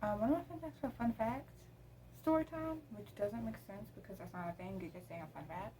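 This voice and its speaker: A woman's voice: medium pitch, brisk, dark tone, slight background noise, poor audio. A teenage girl vlogging at home, chatting casually to her camera, rambling with lots of ums. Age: teens. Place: room at home.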